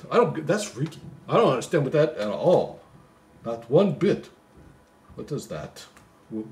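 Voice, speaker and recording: A man reading a poem aloud with casual joking asides, in a rambling, comically baffled manner. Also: on the high side. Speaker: host reading a Christmas poem. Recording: echoey room.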